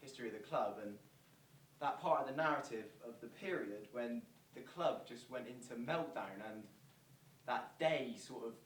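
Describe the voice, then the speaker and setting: A man's voice: mid-range voice, moderate pace, lightly acted. Earnest fan recounting memories, verbatim theatre, played by a man. Distant mic, faint noise. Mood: reflective. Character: earnest football fans recalling a celebrity visit, verbatim theatre. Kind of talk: verbatim monologue performed on stage. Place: theatre performance space, distant microphone. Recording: roomy stage recording from afar, faint noise.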